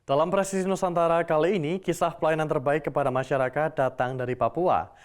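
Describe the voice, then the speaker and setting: A young man presenting a television program in a formal, steady announcer style. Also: fairly high pitch, fast, faint music behind. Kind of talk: scripted broadcast presenting.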